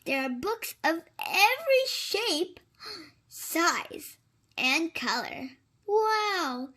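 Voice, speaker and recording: A woman's voice: very high-pitched, very animated, drawn-out words, pushed and bright. A woman reading a picture book aloud to young children, expressively and with exaggerated wonder. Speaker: children's storybook reader.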